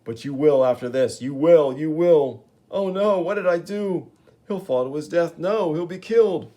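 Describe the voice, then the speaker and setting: A man voicing a touchy, shrill man in an ape suit who rants and pleads to be taken seriously. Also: expressive, normal speed, lightly acted. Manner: whining, rising to frantic yells.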